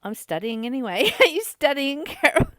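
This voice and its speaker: A woman reading aloud and explaining a spiritual text as the host of a study group, in a deliberate, informal teaching way. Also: high voice, very animated, fairly bright tone, dry recording, studio quality.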